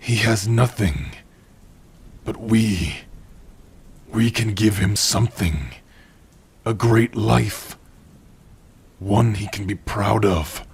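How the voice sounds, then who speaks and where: Orc blacksmith played by a man for ASMR roleplay: tender and proud, lowish pitch, very breathy rasp, intimate delivery. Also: full character voice. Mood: tender, earnest. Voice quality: husky, breathy. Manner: slow, tender, measured delivery with long pauses. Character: gentle, proud male orc warrior-blacksmith, loving partner. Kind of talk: ASMR roleplay, intimate second-person acting.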